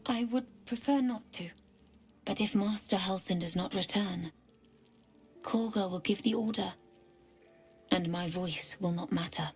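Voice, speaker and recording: Very husky, troubled druid woman. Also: mid-range voice, a little slow, pauses often, faint music behind. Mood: resigned. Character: worried, gentle druid woman, resigned. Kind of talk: video game voice acting.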